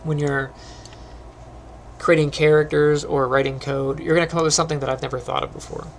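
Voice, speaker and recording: Middle-aged male teacher, gravelly and phlegmy, rambling casual tutorial delivery at a desk mic, slightly higher voice, faint hiss behind. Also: brisk. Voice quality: phlegmy, clears throat often. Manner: relaxed, rambling, many ums. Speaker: animation teacher. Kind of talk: casual screen-recorded tutorial chat.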